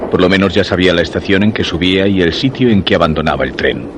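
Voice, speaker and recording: A man's voice: sits low, animated, fast talker, background noise. An actor playing a lovesick, brooding man narrating his diary in a radio drama, with an accent from Spain. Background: music.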